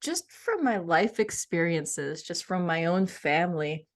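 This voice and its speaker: A woman's voice: fairly low pitch, big pitch swings, measured pace. Earnest woman in thoughtful conversation. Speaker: guest, author and cultural intelligence coach. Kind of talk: thoughtful conversational interview answers.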